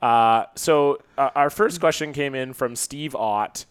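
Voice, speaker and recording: A man's voice: mid-range voice, slow, smooth, no room sound. A man co-hosting a podcast, chatting casually and jokingly in an informal, profane, bantering way. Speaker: podcast co-host reading listener questions.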